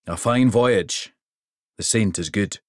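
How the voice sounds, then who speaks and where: A man's voice: on the low side, slow pace, no room sound, studio quality. A man voicing a jovial, towering king who speaks in a hearty, commanding way. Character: booming jovial giant of a king.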